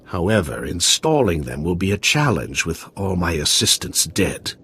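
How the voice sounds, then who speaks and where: An actor playing an eccentric, courteous scientist in a video game, formal, precise and theatrical with a dry wit. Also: male voice, low voice, very animated, moderate pace, pushed and bright, a little hiss or hum, full character voice. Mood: businesslike.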